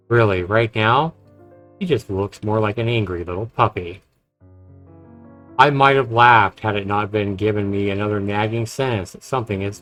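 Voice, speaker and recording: A man's voice: fairly low pitch, fairly bright tone. A man streaming a game, reading story text aloud and adding casual commentary. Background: game music.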